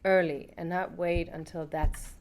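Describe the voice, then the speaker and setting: A woman's voice: on the low side, fairly even intonation, unhurried, a little hiss or hum. A woman guest in an interview, speaking earnestly with some non-native phrasing. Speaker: guest, pet supplement founder. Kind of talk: casual interview chat.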